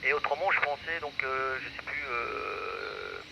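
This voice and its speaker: A man's voice: medium pitch, measured pace, creaky, background noise. A man, an amateur radio operator, chatting casually in a rambling, self-correcting way over a radio transmission.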